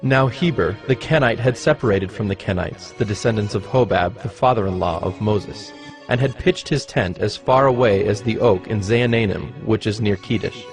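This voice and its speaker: A man narrating a dramatized Bible reading in a measured, solemn storytelling manner. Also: on the low side. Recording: music behind the narration.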